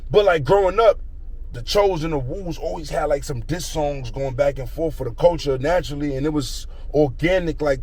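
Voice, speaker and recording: A man's voice: a little high, a little mellow, low-quality recording. Rambling street storyteller, slangy man. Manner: slangy, rambling, repeats a catchphrase. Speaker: rapper telling a story.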